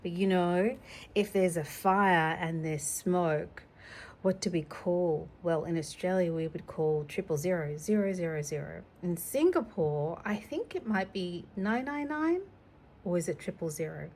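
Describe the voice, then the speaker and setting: A woman's voice: lowish voice, a little slow, darkish tone, slight background noise. A woman reading a picture book aloud to a young child, expressively, with chatty asides. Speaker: storytime reader.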